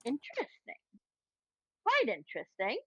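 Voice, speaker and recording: High, dry-sounding woman on muffled mic. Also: wildly swooping pitch, moderate pace, pushed and bright, dry recording. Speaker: tabletop game player. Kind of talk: in-game roleplay chat.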